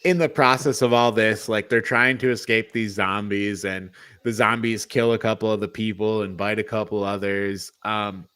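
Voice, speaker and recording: A man co-hosting a podcast, chatting casually through a film review in a halting, rambling way. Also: lowish voice, steady tone, fairly bright tone, clean tone, dry recording. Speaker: podcast co-host.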